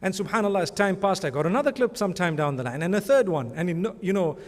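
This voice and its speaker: Guy giving an award speech, kinda high voice, chatty and rambly, lots of blessings, big hall echo. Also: lively intonation, fast talker, darkish tone. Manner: conversational, rambling, frequent religious blessings. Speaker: award presenter. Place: large conference hall. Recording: long hall reverb.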